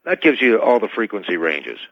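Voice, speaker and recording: A man's voice: lowish voice, sing-song, a little fast, dry recording. A man as a radio interview guest, giving cautious, formally worded technical explanations over dull, band-limited audio. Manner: cautious, formal, hedging wording.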